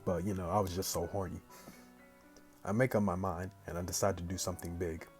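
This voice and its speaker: A man hosting a gaming video, reading a story aloud casually with slangy, animated asides. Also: deep voice, fairly quick, soft background music. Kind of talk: casual let's play, reading story aloud with excited reactions.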